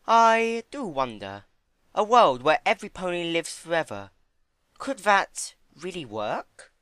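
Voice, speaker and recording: A man's voice: sits high, very animated, measured pace, bright, forceful tone, smooth, no echo, studio quality, pitched above the performer's own voice, exaggerated voice. A man voicing a refined, ladylike female pony with a genteel, thoughtful and dramatic way of speaking in a story reading. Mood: musing. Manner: genteel, musing. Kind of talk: dramatic fan-fiction reading.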